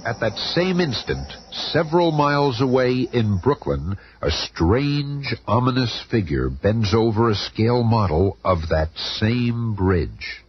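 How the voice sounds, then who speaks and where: An actor as the dramatic, ominous storyteller narrating a superhero radio drama. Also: male voice, mid-range voice, lively intonation, slow. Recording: muffled radio broadcast, faint noise.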